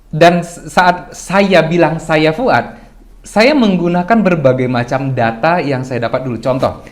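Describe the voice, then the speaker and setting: A young adult man, a podcast guest, talks through ideas in a loose, reflective way, asking himself rhetorical questions and often clearing his throat. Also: fairly high pitch, measured pace. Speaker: guest speaker. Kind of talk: casual podcast discussion.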